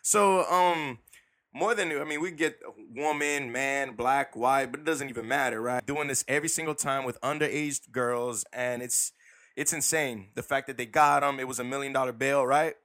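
A man telling stories on a podcast, laid-back and full of slang. His pitch sits in the middle, but his tone is piercingly bright. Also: moderate pace. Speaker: podcast co-host. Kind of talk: casual podcast chat.

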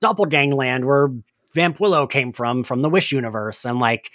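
A man's voice: mid-range voice, normal speed, no room sound, studio quality. A man hosting a podcast, chatting casually through a recap with lots of "like" as filler. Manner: animated, chatty, filler-heavy with frequent "like". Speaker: podcast host.

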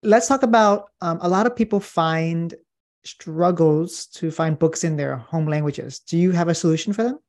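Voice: mid-range voice, moderate pace, darkish tone. A podcast host asking a guest short, direct questions. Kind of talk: interview questions.

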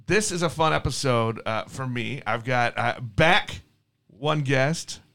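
A man hosting a local podcast, chatty and lively, often repeating words as he talks. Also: mid-range voice, slow pace, vocal fry. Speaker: podcast host. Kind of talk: casual podcast chat with ad read.

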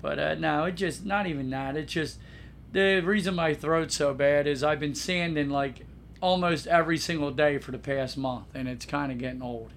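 A man's voice: fairly high pitch, moderate pace, creaky, a bit bright. A man hosting a casual podcast chat with a scratchy sore throat, blunt and joking, often calling his co-host by name.

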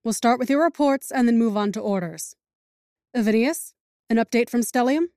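A woman playing a high-voiced, commanding villainess. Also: darkish tone, no room sound, studio quality, lightly acted. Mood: cool command. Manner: poised, imperious, measured. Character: commanding female villain leader, coolly menacing, brooks no dissent. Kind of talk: scripted audio drama acting.

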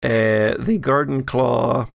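A man's voice: mid-range voice, fairly even intonation, slow, gravelly, no room sound, clean studio sound. A man narrating a tabletop role-playing game as game master, improvising as he goes.

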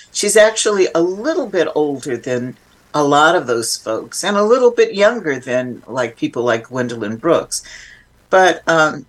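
A woman speaking as an interview guest, explaining informally and with emphasis in a reflective, conversational way. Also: deep voice.

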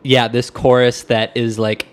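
A man's voice: medium pitch, little pitch movement, unhurried, clean tone. A man co-hosting a music podcast, speaking informally and reflectively with hesitant phrasing. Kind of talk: casual music discussion.

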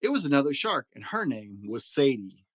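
A man narrating a children's picture book in an expressive, dramatic storytelling manner. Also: medium pitch, normal speed, no room sound, studio quality. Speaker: story reader.

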